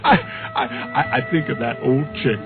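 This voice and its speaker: A man's voice: medium pitch, unhurried, creaky. An actor playing a gleeful, mocking hipster con man who drawls in hip slang, in the style of 1950s radio drama. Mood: gloating, laughing. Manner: hip slang, drawling, laughing at his victims. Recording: tinny old radio, music behind.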